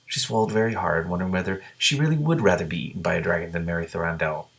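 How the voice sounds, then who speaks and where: A man reading a book aloud and breaking in with excitable, sometimes profane reactions. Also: low voice, quick pace, creaky. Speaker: reader and commentator.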